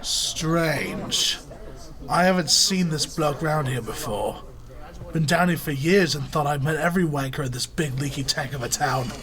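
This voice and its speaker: Guy doing gruff brooding british working bloke, radio drama, noisy background. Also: a little high, unhurried, a crowd in the background, natural voice. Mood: wary, gruff. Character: gruff, world-weary British working man narrating his story. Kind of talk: radio drama dialogue and narration. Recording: noisy radio-style ambience.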